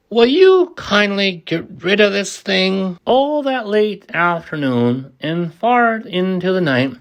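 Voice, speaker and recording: Very slow, animated storybook reader. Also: medium pitch. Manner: halting, stumbling over words. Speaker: amateur audiobook reader. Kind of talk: animated children's story reading.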